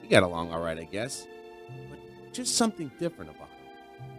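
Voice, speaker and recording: An actor playing a chatty, folksy bar owner, rambling conversationally through a radio drama monologue. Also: male voice, deep voice, wildly swooping pitch, fairly quick, fairly bright tone, faint music behind, exaggerated voice. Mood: reflective, curious.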